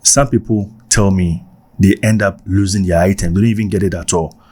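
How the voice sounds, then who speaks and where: A man's voice: sits low, a little fast. Ghanaian man telling stories. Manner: animated, mixes in Ghanaian phrases, repeats himself for emphasis. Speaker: vlogger. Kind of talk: casual storytelling vlog.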